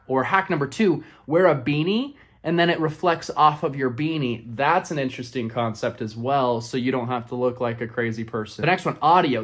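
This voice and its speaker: A man's voice: a little high, a bit bright. A man in his thirties talking informally to the camera in a casual product review, addressing viewers directly in a small indoor room. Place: small indoor room with painted brick wall.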